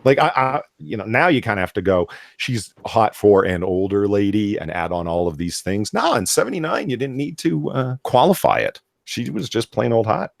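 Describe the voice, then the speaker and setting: A man hosting a casual live chat, excitable and emphatic, prone to swearing, who sometimes reads aloud. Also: lowish voice, moderate pace, gravelly, hardly any pauses, dry recording. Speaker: podcast host.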